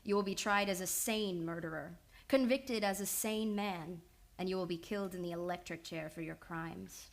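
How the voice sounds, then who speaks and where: A woman playing a shrewd young psychoanalyst in an old-time radio drama, speaking in a measured, assured, matter-of-fact way. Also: lowish voice, close to an everyday voice. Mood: steely composure. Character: composed, shrewd young female psychoanalyst confronting a killer.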